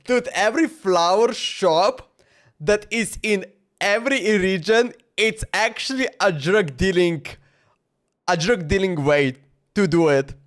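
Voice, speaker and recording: A man's voice: high voice, takes it slowly. A man giving casual, slangy, rambling live reactions as a game streamer. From Slovenia. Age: 20s. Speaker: game livestreamer.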